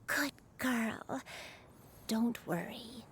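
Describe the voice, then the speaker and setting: A woman voicing a gentle, doting granny in a video game, speaking in a tender, soothing way. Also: fairly high pitch, slow, breathy edge, heavily acted. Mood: tender, bittersweet. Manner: tender, soothing, breaking into hushed delivery. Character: gentle, doting granny, a crane in human form.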